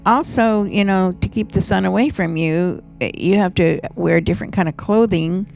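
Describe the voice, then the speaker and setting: A woman in her eighties talks casually with her son and answers in a gravelly voice. She keeps her replies dry and teasing. Faint music plays underneath. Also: mid-range voice, normal speed, darkish tone. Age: 80s. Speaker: mother in conversation. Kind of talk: casual chat.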